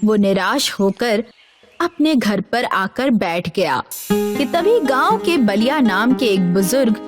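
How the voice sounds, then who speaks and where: A woman narrating a cartoon story in an expressive storytelling manner. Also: fairly high pitch, slow, over music.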